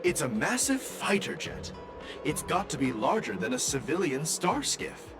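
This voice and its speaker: A man's voice: on the high side, measured pace, exaggerated voice. A man voicing a friendly, enthusiastic tourist trader in a video game. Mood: eager. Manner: animated, enthusiastic. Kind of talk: video game character dialogue. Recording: music behind the voice.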